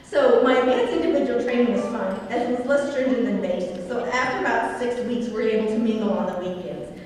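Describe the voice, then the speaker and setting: A woman's voice: on the high side, fairly quick, a little mellow. A woman in her forties from Iowa gives a prepared speech at a memorial ceremony, reading her remarks with frequent small restarts and self-corrections. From Iowa, United States. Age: 40s. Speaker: veteran speaker at a ceremony. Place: large university event hall. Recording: echoey hall from far off, faint noise.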